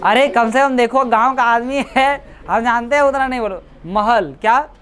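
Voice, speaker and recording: A woman's voice: fairly high pitch, normal speed. A woman coaching-class teacher giving a classroom history lecture, often checking that students follow and repeating dates. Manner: keeps checking understanding, repeats dates, teasing asides.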